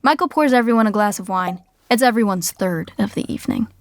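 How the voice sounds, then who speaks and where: A woman narrating a comedy podcast in a dry, deadpan way. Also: medium pitch, fairly quick, dry recording.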